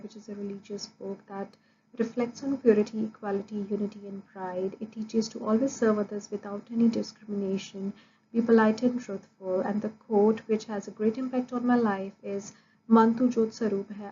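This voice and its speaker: Woman student, warm low-key tone, steady listing delivery, dull lo-fi recording. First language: Punjabi. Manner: steady, listing points with frequent "uh" fillers. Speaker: student presenting an assessment. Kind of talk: prepared academic presentation. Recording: dull lo-fi audio with faint noise.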